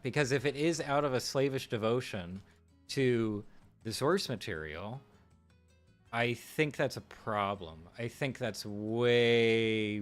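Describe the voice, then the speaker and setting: A man streaming live, talking through film technique in a casual, musing way with fillers and restarts. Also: mid-range voice.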